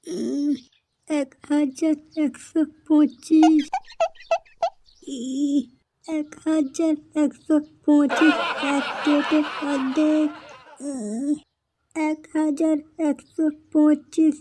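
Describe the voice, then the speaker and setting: A man voicing a blustering, slow-witted strongman in an amateur comedy cartoon, with artificially raised pitch. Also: flat delivery, exaggerated voice. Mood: straining, plodding. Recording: noisy amateur recording with clicks.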